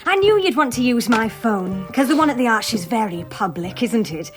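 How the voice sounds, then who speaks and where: A woman's voice: a little high, animated, moderate pace, over music, natural voice. A woman playing a nosy, gossipy French village postmistress in a radio drama, eager, chatty and confiding.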